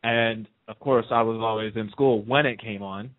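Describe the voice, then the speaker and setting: A man's voice: lowish voice, fairly even intonation, moderate pace, no room sound. A man chatting casually as a guest in a radio interview, telling stories in a talkative way. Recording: narrow, tinny phone-line sound.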